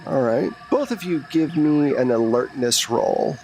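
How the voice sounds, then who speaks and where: A man's voice: medium pitch, lively intonation, a little slow, a little mellow, faint music behind, poor audio. A man narrating a tabletop roleplaying game as game master in an informal, conversational way. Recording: faint background noise.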